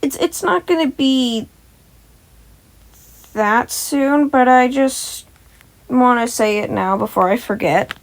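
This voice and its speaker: A woman's voice: a little high, slow pace, long pauses. A woman who hosts a fan-fiction audio channel, reading stories and talking to listeners. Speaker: podfic reader and channel host. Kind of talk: scripted story narration and casual asides to listeners.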